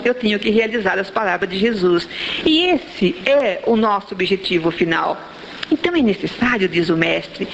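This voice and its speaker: A Brazilian woman giving a religious talk in Brazilian Portuguese, explaining in a didactic way and checking in with rhetorical questions. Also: a little high. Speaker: spiritist lecturer. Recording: dull lo-fi audio, faint background noise.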